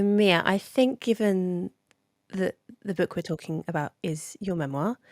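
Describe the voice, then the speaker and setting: A woman's voice: fairly low pitch, a little mellow, no echo, clean studio sound. A woman, hosting a podcast, introducing the show and interviewing a guest.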